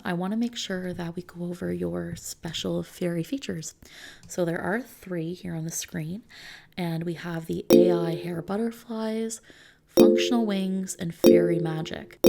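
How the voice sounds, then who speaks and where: A woman speaks softly in a lowish, mellow voice with heavy vocal fry. She plays a service consultant in an ASMR roleplay, explaining things gently and reassuringly. Her phrases have breathy edges that slip toward a whisper. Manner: gentle, reassuring, checking in with the listener.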